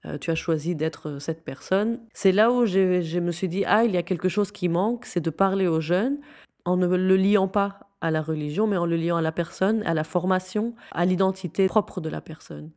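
Earnest woman with a lowish voice. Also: normal speed, a little mellow. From Syria. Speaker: interview guest, founder of a youth non-profit. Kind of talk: conversational interview.

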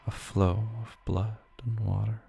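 A narrator reading scripted horror fiction in short, deliberate phrases. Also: medium pitch, level tone, gravelly, dark tone. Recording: faint background noise.

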